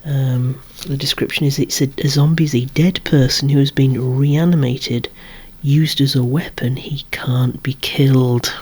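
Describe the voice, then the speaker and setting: ASMR collector-presenter, androgynous voice. Whispered, hushed delivery at medium pitch, with gently wry asides. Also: steady tone, a little slow, few pauses, slightly rough. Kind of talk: whispered ASMR show-and-tell of collectible toys.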